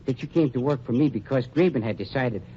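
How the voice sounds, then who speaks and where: An actor playing a sly, urbane undertaker in a 1940s radio drama, speaking politely and precisely with dry irony. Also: male voice, mid-range voice, fast, mellow, lightly acted. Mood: wry, amused. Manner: polite, dryly ironic, precise, mock-regretful. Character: urbane, sly male undertaker double-crossing gangsters. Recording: muffled, noisy 1940s radio recording.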